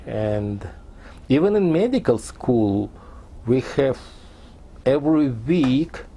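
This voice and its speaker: A man in his sixties from Tajikistan, a Persian speaker, recounting his life in halting, fragmented English in an oral history interview. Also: mid-range voice, dark tone, slight background noise. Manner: halting non-native English in short fragments.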